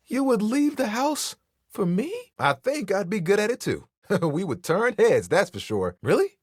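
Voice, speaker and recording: Laid-back proud towel character for a video game, kinda high for a man, raspy edge, earnest and playfully boastful. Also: sing-song, unhurried, darkish tone, no echo, clean studio sound, exaggerated voice. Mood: excited, warm. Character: easygoing, proud, sentimental living bath towel, adult male.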